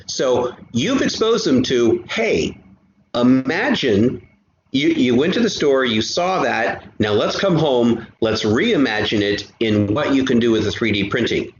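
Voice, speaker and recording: A man speaking as a podcast guest in an animated casual discussion, emphatic and often halting to repeat a phrase. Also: mid-range voice. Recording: roomy, dull sound with faint noise.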